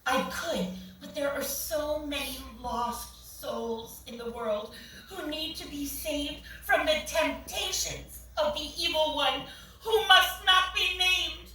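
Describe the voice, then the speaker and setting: Casting: actress as prim religious zealot, earnest, preachy, bright high voice, stage play. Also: female voice, takes it slowly, exaggerated voice. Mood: earnest, zealous. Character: pious, zealous churchgoing woman, primly fanatical about purity. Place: small theatre, staged reading. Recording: echoey theatre, far mic, faint noise.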